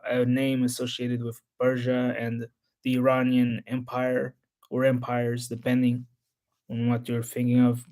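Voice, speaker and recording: A man whose first language is Portuguese, talking unscripted to his channel's viewers in a halting, rambling way full of restarts. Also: medium pitch, a little mellow. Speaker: history YouTuber. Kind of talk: unscripted solo talk to camera.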